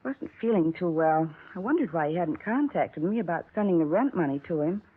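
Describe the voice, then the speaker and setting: A woman's voice: on the low side, animated, brisk, slightly rough. An actress playing a chatty, gossipy landlady who rambles on in a 1950s radio drama. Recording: tinny 1950s radio broadcast.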